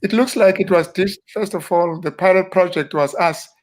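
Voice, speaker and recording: South African man, mid-high voice. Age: 50s. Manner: unhurried, rambling, with frequent fillers and restarts. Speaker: interview guest, minister. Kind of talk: relaxed reminiscing interview talk.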